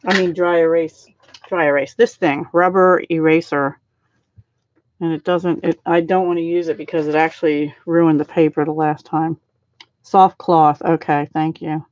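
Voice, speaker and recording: A soft-spoken middle-aged woman with a lowish voice talks through a card-making demo while she works. Her audio is dry and a little dull, like a home stream mic. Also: steady tone, moderate pace, no room sound. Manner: soft-spoken, thinks aloud while working. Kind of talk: casual live craft demo, chatting with viewers.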